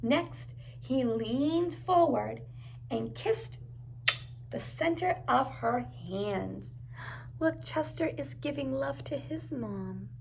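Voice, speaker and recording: Woman, storybook read-aloud for small children, highish pitch, unhurried, encouraging asides, faint background noise. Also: expressive, vocal fry, a little mellow, poor audio. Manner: encouraging, asks simple questions. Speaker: preschool teacher reading aloud.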